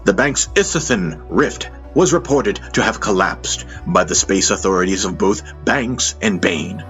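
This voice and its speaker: A man reading dramatic scripted audiobook narration in a solemn, measured manner. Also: sits low, lively intonation, a bit bright. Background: music under the voice.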